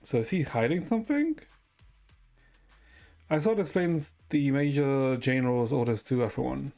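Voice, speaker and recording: A man's voice: medium pitch, animated, normal speed, vocal fry, warm tone. A man giving casual gameplay commentary and reading story dialogue aloud, with musing, muttered asides. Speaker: game streamer. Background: video game music.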